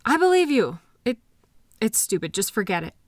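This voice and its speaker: A woman acting a hurt, anxious girlfriend in an intimate audio drama, emotional, accusatory and halting as she argues with her partner. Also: fairly high pitch, big pitch swings, no room sound, clean studio sound. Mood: backing down, ashamed.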